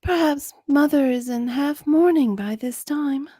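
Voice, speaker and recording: A woman's voice: high voice, a little slow, warm tone, no room sound, clean studio sound. A woman voicing Wendy, a prim, anxious storybook girl who speaks in a polite, proper, pleading way. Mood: worried.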